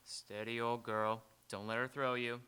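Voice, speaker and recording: A man's voice: mid-range voice, little pitch movement, measured pace, sharp tone, clean tone. A man playing a wry, hard-working writer who is awkward among the rich, in hesitant live radio theatre acting. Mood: flustered, wry. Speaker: stage radio actor. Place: live on an auditorium stage.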